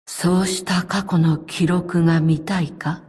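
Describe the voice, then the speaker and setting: A woman voicing a calm, stoic, loyal elite operative in a game, speaking in formal, measured, terse lines. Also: lowish voice, slightly rough, big-room sound. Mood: composed, formal. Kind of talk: scripted game character voice lines.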